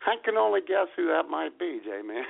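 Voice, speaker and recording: A playful man co-hosting an online talk show, teasing and laughing, with a gravelly edge and a lively, swooping pitch. It sounds thin and phone-like. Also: moderate pace. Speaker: co-host of an internet radio show. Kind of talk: casual banter on a call-in radio show. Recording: tinny phone-line internet radio audio.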